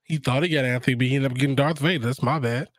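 Male co-host, raspy mid-range voice, dry close sound, wry conversational banter. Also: medium pitch, steady tone, rapid-fire, no room sound. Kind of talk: casual chat, joking storytelling.

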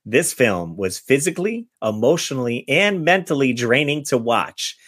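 A man's voice: medium pitch, sing-song, normal speed, fairly bright tone, breathy edge, dry recording, studio quality. A man hosting a podcast, chatting casually and reading out film reviews. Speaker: podcast host.

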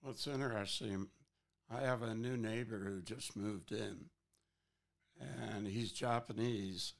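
A Canadian man interviewing, telling a relaxed, chatty anecdote. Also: lowish voice, slow pace, gravelly.

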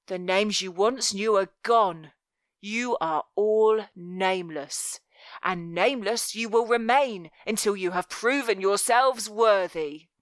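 A woman voicing a cruel, menacing male master of thieves in an audiobook, commanding and deliberate, with mock-tender threat. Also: medium pitch, dry recording, clean studio sound, natural voice. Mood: harsh, commanding. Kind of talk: dramatic audiobook character voice.

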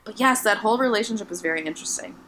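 Podcast co-host: wry, jokey woman, bright tone, faint background noise. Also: mid-range voice, lively intonation, racing. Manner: wry, jokey, playful asides. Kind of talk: casual chat recapping a TV episode.

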